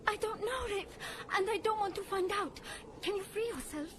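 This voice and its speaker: Very high-pitched excitable young tribeswoman. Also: normal speed, noisy background. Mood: fearful. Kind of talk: audio drama acting.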